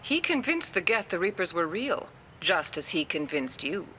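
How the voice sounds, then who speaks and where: Composed alien stateswoman, bright crisp edge, slightly low pitch, formal, diplomatic, game cutscene. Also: very animated, normal speed, slight background noise. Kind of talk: video game dialogue acting.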